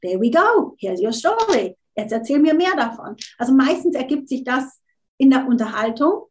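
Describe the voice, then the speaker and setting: A woman's voice: sits high, very animated, moderate pace, darkish tone. A woman interview guest, a coach, talking casually, often restarting words and slipping in English phrases. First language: not German, unsure of her German.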